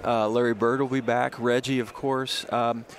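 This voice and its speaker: A man's voice: mid-range voice, flat delivery, moderate pace, slight background noise. Guy hyping up his city's big sports events, outdoors, punchy and emphatic. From Indianapolis. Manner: upbeat, emphatic, lists names and places. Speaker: tourism and events official. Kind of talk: promotional interview answers.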